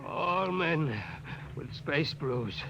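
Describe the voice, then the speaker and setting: A man's voice: fairly high pitch, lively intonation, very slow, vocal fry, full character voice. A man acting a dying doctor, weakly gasping out a warning in a 1950s radio drama. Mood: failing, urgent. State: weak, gasping. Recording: very noisy, muffled old radio recording.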